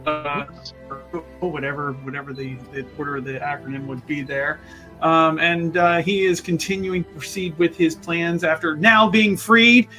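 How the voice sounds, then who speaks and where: A man with a voice a bit higher than most chats as a tabletop player. His phrasing is wordy and playfully formal, and he hesitates often as he builds each sentence. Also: fairly even intonation, moderate pace, over music, poor audio. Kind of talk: casual character introduction.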